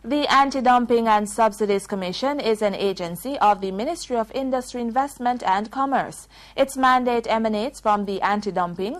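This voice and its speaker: A woman with a Jamaican accent reading scripted news bulletins in a crisp, formal delivery. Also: fairly high pitch. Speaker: news presenter.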